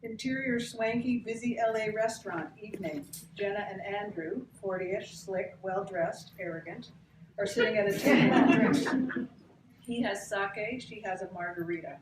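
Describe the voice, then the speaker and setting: A woman narrates scripted stage directions at a live reading. She is a little away from the microphone, in a reverberant room with clear background noise. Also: medium pitch, moderate pace. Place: cramped bookstore event, live. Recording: distant mic, echoey noisy bookshop.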